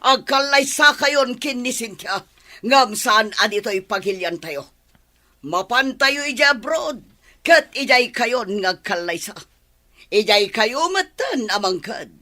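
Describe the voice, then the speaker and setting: A woman's voice: on the high side, expressive, pushed and bright, exaggerated voice. A woman playing an imperious, scheming rich mother in a radio drama, with a Filipino accent and a haughty, commanding manner. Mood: cold, commanding. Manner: haughty, commanding, drawn-out delivery. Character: imperious, scheming wealthy middle-aged mother, cold and domineering.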